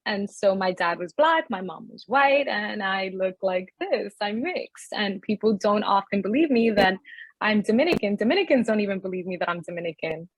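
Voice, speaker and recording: A woman in her thirties speaks candidly and frankly as an interview guest over a video call. Her mid-pitched voice sounds dry and close, with no room echo around it. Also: expressive, normal speed. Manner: candid, frank, with light self-aware laughs. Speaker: actor guest. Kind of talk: casual interview answers. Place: home room.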